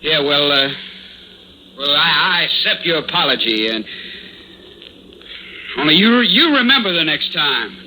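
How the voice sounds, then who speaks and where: An actor playing a drunken, belligerent small-town tough in an old radio drama, talking roughly and stumbling over repeated words. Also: male voice, a little high, very animated, unhurried, pauses often, pushed and bright, slight background noise, heavily acted, poor audio. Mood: blustering, threatening. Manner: rough, threatening, stammering and repeating himself. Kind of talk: mid-century radio drama acting.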